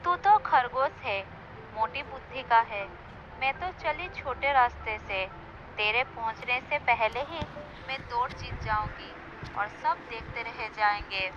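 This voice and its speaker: A woman playing a cunning, conceited female fox with a theatrical delivery in an animated moral story. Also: fairly high pitch, unhurried, natural voice. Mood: gloating. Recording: dull lo-fi sound with clear noise.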